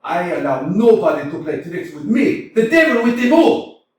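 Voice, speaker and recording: A furious, blustering landowner, played by a man in a stage reading, lurching between muttering and bellowing. His voice sits high and swings wildly. He sounds far from the mic in a very echoey theater with a faint hiss. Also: very animated, moderate pace. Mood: ranting. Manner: ranting, exclamatory, swinging between mutters and shouts. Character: irate middle-aged male landowner chasing a debt, blustering. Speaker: actor in a community staged reading. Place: small community theater stage.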